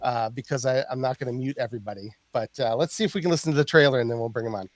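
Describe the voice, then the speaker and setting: A man's voice: medium pitch, racing, very noisy. A man hosting a podcast, chatting casually with lots of fillers and restarts. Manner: rambling, filler-heavy. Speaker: podcast host. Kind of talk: casual chat.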